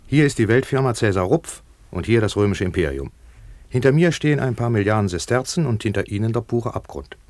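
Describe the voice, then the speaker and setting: Man acting a pompous, low-voiced business tycoon. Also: expressive, a little fast, a little mellow, dry recording, natural voice. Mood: businesslike. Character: brash, self-important tycoon trouser manufacturer in ancient Rome. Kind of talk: German radio play acting.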